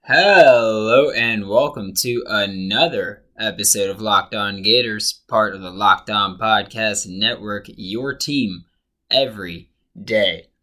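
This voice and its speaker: Slightly hoarse man, bright-toned podcast host. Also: fairly low pitch. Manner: chatty and opinionated, thinks aloud. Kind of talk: casual sports commentary.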